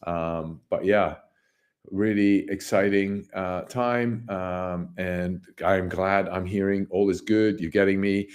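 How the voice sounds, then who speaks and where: A man with a slightly hoarse voice hosting a casual livestream market commentary, rambling and emphatic, repeating phrases and posing rhetorical questions. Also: low voice, steady tone, slow, darkish tone. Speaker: livestream host, company founder.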